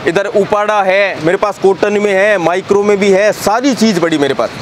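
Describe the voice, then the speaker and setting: A young man who owns a fabric shop gives a fast sales tour, listing fabrics and prices in a brisk patter, recorded on a lapel mic in a busy warehouse. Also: sits high. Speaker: shop owner. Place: crowded fabric warehouse, indoors. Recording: crowd noise in a busy warehouse.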